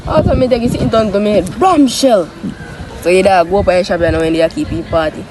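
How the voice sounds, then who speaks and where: A young man with a Jamaican accent telling stories casually to friends in an animated, repetitive, slangy way. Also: high voice, brisk. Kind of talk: casual storytelling among friends. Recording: noisy outdoor recording with traffic.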